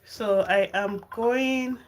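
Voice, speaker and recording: Slow-talking Nigerian woman. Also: fairly high pitch, slight background noise. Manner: chatty, answers viewer comments, drawn-out slow delivery. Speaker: gardening channel host. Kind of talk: casual live-stream chat with viewers. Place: home garden and kitchen.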